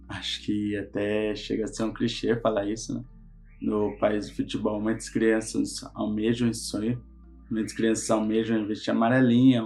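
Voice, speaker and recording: Jovem de voz grave e monótona. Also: male voice, fairly low pitch, darkish tone. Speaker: engineering student. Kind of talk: personal testimony to camera.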